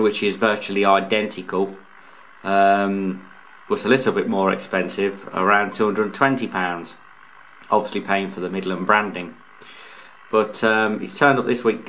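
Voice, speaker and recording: A man's voice: on the low side, level tone, moderate pace, bright, forceful tone, rough recording. A man talking casually and informally through a hands-on product review, explaining as he goes with some hesitation. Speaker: radio hobbyist reviewer, channel host. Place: indoors, off camera beside a tabletop radio.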